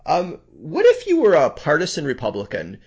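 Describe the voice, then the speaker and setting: A man's voice: on the high side, wildly swooping pitch, normal speed, darkish tone, husky, lo-fi sound. A man interviewing a guest, putting brief probing questions and short pushback. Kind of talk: conversational interview.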